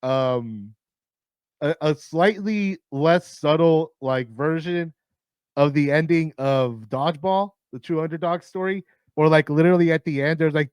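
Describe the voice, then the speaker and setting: A man hosting a movie countdown, his voice raspy and tired, rambling casually with lots of swearing, fillers and restarts. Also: a little high, darkish tone, no room sound, clean studio sound. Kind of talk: casual rambling film talk.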